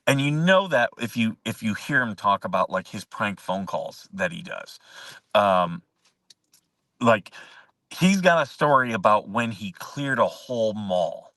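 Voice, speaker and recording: A man's voice: lowish voice, a bit bright, dry recording, far from the mic. Chuckling man rambling off-mic. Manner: rambling, chuckling, answers viewer comments. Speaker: host. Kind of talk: casual livestream chat.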